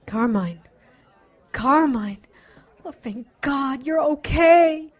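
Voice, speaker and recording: A woman's voice: high-pitched, animated, very slow, full character voice. A woman playing a protective, defensive big sister in an audio drama, earnest and emotional. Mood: relieved, soothing.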